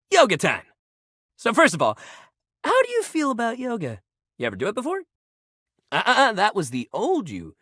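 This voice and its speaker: A man's voice: high-pitched, a bit bright, dry recording, clean studio sound, full character voice. A man voicing an upbeat, supportive fitness coach in a video game, talking in encouraging, casual bro slang. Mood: coaxing. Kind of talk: video game character voice lines.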